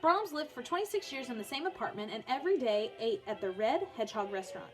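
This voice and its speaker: A woman's voice: fairly high pitch, lively intonation, fast, soft background music. A woman teacher reading a children's biography aloud for online learners, in storybook narration with informal, chatty asides.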